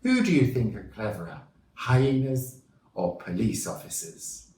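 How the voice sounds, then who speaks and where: A man's voice: lowish voice, sing-song, a little slow, dark tone. Guy doing a storybook hyena father, sure of himself and reassuring, roomy sound. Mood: confident. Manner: confident, reassuring. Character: confident hyena father, devoted joke-telling dad.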